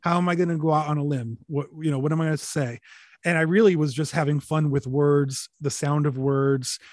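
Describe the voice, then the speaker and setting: A man being interviewed, telling stories in a relaxed, rambling way with many fillers, restarts and casual swearing. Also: on the high side, a little fast, dry recording, studio quality. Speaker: interview guest, recording artist. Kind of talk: relaxed conversational storytelling.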